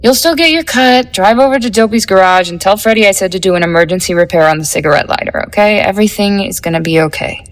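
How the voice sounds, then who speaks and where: A woman's voice: mid-range voice, fast talker, hardly any pauses, lightly acted. A young woman acting in an audio drama as a cool, scheming, slangy teenage girl in a 1950s gang, offhand and sardonic. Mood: coolly persuasive.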